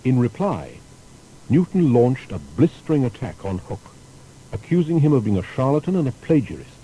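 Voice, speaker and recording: A man's voice: mid-range voice, sing-song, normal speed, mellow, a little hiss or hum, rough recording. A man reading a scripted biographical narration in a formal, storytelling manner. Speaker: documentary narrator.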